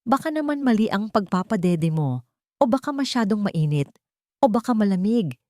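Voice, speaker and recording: A woman's voice: medium pitch, big pitch swings, normal speed, mellow, dry recording, clean studio sound, pitched above the performer's own voice, lightly acted. A woman voicing a cold, wealthy mistress of the house in an audio story, curt and accusing.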